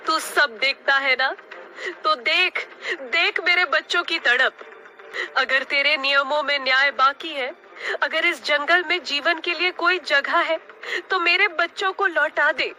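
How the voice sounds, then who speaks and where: High, bright, impassioned mother bird, voiced by a woman. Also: a little slow, heavily acted, poor audio. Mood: defiant, anguished. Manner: impassioned, dramatic pleading. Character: anguished, defiant mother bird pleading for sick chicks. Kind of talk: Hindi cartoon story acting.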